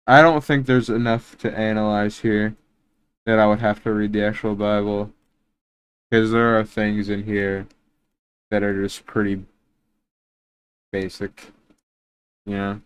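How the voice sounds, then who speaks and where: A man hosts a reaction video with casual, unscripted commentary. His voice is fairly low, very smooth and clear. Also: level tone, unhurried, pauses often. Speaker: reaction video host, YouTuber.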